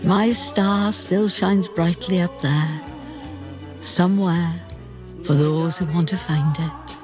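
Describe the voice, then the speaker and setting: A woman playing an ageing, proud and camp Welsh singing star, speaking theatrically with dramatic pauses in a radio play. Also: lowish voice. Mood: grand. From Wales. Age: older. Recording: music behind the voice.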